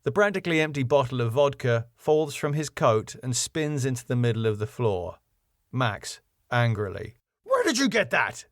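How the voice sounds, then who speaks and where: A man's voice: mid-range voice, moderate pace, no room sound, studio quality. A middle-aged man with a British accent, narrating and chatting as a jaunty, self-deprecating podcast host. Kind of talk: scripted story narration and chatty podcast outro.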